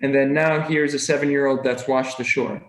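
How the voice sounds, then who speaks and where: A man's voice: medium pitch, flat delivery, normal speed, reverberant, poor audio. A man speaking as a podcast guest, telling his stories earnestly and reflectively in casual conversation. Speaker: podcast guest, nurse anesthetist. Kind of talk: casual interview storytelling.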